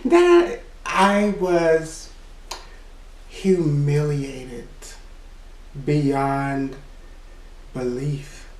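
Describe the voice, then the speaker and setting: A man with a naturally high voice recounts a personal story in an unhurried, rambling way. He fills his speech with "you know" and laughs between his remarks. He sits back from the mic in an echoey room. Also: expressive, far from the mic. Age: adult. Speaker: vlogger. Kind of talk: casual storytime monologue.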